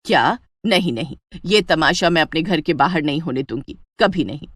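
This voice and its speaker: A woman's voice: deep voice, animated, normal speed, breathy edge, dry recording, clean studio sound. A woman playing a bitter, taunting middle-aged village mother-in-law in a theatrical moral story. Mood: scolding. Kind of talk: dramatic Hindi moral-story voice acting.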